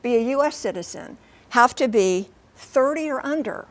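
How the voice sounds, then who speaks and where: Woman, American accent, high-pitched voice, TV news correspondent, conversational live report, emphatic, clipped explanatory phrasing. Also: lively intonation, moderate pace. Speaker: TV transportation correspondent and pilot.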